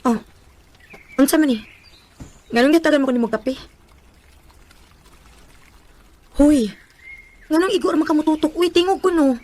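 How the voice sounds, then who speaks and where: Woman acting as a wary sassy young bisaya shopgirl in a radio drama, high voice, cebuano accent. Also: a little fast, darkish tone, close to an everyday voice. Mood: annoyed. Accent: Cebuano Filipino. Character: guarded, sharp-tongued young shop worker wounded by love.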